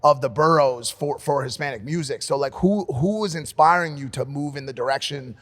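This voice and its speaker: A man's voice: fairly high pitch, fairly quick, a bit bright, in a large hall. A man co-hosting a podcast, asking long, loose, slangy questions. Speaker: podcast co-host. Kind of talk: casual podcast interview.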